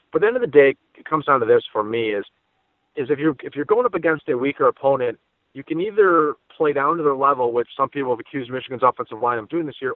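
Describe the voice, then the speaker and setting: Fast-talking man on a phone-like line. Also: mid-range voice, dry recording. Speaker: sports radio analyst and guest. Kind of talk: sports podcast interview commentary. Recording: dry, phone-like narrow band.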